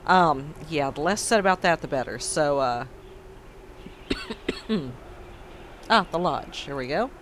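A man hosting a let's play, hoarse and froggy after being sick, making wry, sarcastic asides over the game. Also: fairly high pitch, expressive, slow pace, long pauses, fairly bright tone, a little hiss or hum. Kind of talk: casual game commentary. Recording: faint game music behind.

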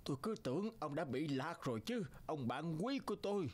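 A man voicing a warm, effusive old wine god in a cartoon fairy tale, full of exclamation. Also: fairly high pitch, expressive, fairly quick. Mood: relieved, fond.

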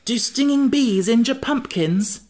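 A woman's voice: fairly low pitch, expressive, slow, voice pushed up. A woman voicing an anxious, simple and polite male pumpkin-headed figure in a storybook, earnest and worried. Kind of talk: audiobook character voice.

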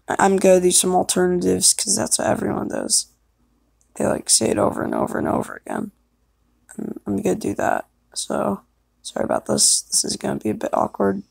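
A woman's voice: mid-range voice, gravelly, a little mellow, breathy edge, dry recording. A young woman chatting casually and self-consciously, hesitant and apologetic as she records herself. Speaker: amateur voice actor recording an audition.

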